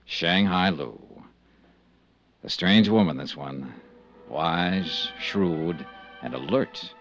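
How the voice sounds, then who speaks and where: An actor playing a tough, shrewd American insurance investigator in a 1950s radio drama, clipped and wry in manner. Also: male voice, low-pitched, extremely slow, a bit bright, faint music behind, heavily acted. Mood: wry narrating. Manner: clipped, hard-boiled, wry. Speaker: lead actor and narrator of a radio detective serial. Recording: muffled 1950s radio recording.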